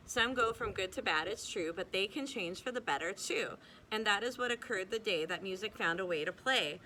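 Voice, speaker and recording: A young woman reads a rhyming children's book aloud in a bright, clear voice. She keeps a steady, bouncing rhythm and sometimes restarts a stumbled word. A faint hiss sits under the recording. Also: medium pitch, low-quality recording. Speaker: reader.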